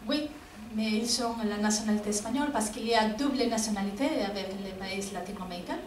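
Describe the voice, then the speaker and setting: A woman's voice: medium pitch, fast. A woman from Spain, answering audience questions as a guest professor in an auditorium, hesitant with many fillers and a frequent "non?" tag. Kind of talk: lecture Q&A answers. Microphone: distant. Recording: echoey hall, distant mic, faint noise.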